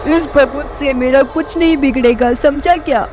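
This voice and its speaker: A woman voicing a menacing, vengeful witch in an animated horror story, taunting and threatening her prey. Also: sits high, moderate pace, close to an everyday voice. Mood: defiant threat. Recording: background music and clear noise.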